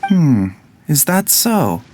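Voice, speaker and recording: A man playing a gentle, courteous doctor in a video game, with polite, measured, formal phrasing. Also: fairly high pitch, very animated, slight background noise, full character voice. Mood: courteous, composed. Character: gentle, courteous male doctor, composed and soft-mannered. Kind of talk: video game character acting.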